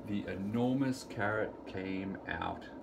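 A middle-aged man reading a children's picture book aloud to preschoolers in deliberate, sing-song storytelling phrasing, recorded in a room at home. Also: low voice. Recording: clearly noisy home recording, room ambience.

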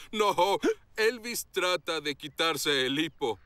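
High, lively, kindly firefighter hero. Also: male voice, very slow, heavily acted. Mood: friendly. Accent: Latin American Spanish. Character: kindly, dependable adult male firefighter hero. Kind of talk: animated children's series dubbing.

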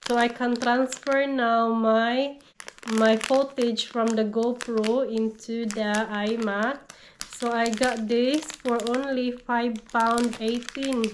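A woman's voice: fairly high pitch, little pitch movement, slow, slight background noise. A woman in her thirties with a cold, gushing over items she unboxes in her living room. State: has a cold. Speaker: haul vlogger. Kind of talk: casual product haul. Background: crinkling packaging.

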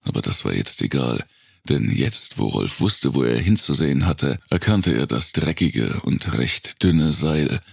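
A man reading a dark thriller audiobook as a dramatic narrator, brooding and deliberate, with pauses for effect and a gravelly, husky voice. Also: very deep voice, lively intonation, no echo, clean studio sound.

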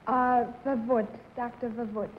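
A young woman from South Africa answering panel questions calmly on a 1950s TV game show, in muffled old broadcast audio. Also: on the high side, unhurried, slight background noise. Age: young adult.

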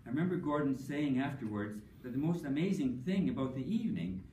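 An older man giving a prepared tribute speech at an academic conference, measured and dry-humored, with frequent ums. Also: medium pitch, animated, dark tone, slight background noise. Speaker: panelist speaking at an academic tribute session. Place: conference hall. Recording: echoey hall, voice from a distance.

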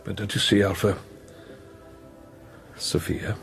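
A man in a radio drama playing a weary, guilt-ridden priest, anguished and searching, with an intense, halting, brooding delivery. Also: fairly low pitch, level tone, fairly bright tone, soft background music. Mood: reflective, resolute.

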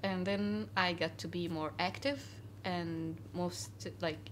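A woman's voice: lowish voice, steady tone, slow pace, a bit bright, slight background noise. A young woman from Syria, a guest in a casual interview, talking earnestly with many fillers and restarts in a cafe. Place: cafe, indoors.

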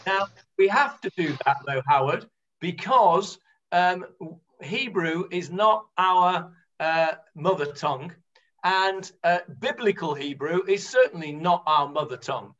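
A man's voice: sits high, expressive, measured pace, fairly bright tone. Older man teaching a class on a video call. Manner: hesitant, many fillers and restarts, explanatory. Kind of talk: leading an online Talmud study class. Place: home room, on a video call. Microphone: computer mic on a video call. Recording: dull lo-fi video call audio.

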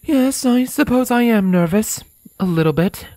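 Woman as female unicorn, gentle, nervous, weary, soft mellow tone, lively intonation, storybook drama. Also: on the high side, moderate pace, dry recording, natural voice. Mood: reluctant, nervous. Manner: soft-spoken, reluctant.

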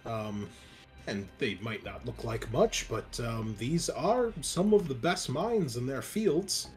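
A man playing a measured, diplomatic deputy in a tabletop game, speaking haltingly, politely and deliberately. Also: mid-range voice, lively intonation, darkish tone, faint music behind. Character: measured, diplomatic deputy counselling the prison warden. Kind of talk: tabletop role-play acting.

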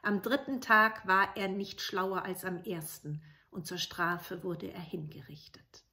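Bright-voiced middle-aged woman telling fairy tales. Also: medium pitch. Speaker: storyteller. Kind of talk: expressive fairy-tale narration for children. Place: room in a home.

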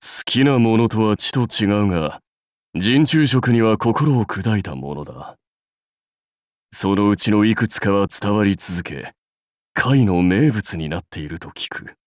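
An actor playing a proud, seasoned samurai warlord in a game, speaking in stately, measured, archaic phrases with wry authority. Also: male voice, on the low side, lively intonation, no room sound, clean studio sound. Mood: grave, advising. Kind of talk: scripted game character lines.

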